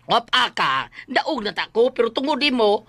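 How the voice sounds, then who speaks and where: A man playing an excitable, aggrieved husband and luckless bettor in a comic radio drama, with a Cebuano accent and whiny, exclamatory complaints. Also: very high voice, moderate pace, slight background noise, full character voice. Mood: exasperated, accusing.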